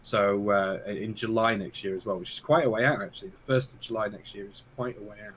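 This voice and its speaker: A man co-hosting a casual keyboard podcast, losing his voice and talking in halting bits full of fillers. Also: fairly low pitch, steady tone, a bit bright, a little hiss or hum. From United Kingdom. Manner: halting, many fillers and restarts. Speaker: podcast co-host. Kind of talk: casual chat about keyboards.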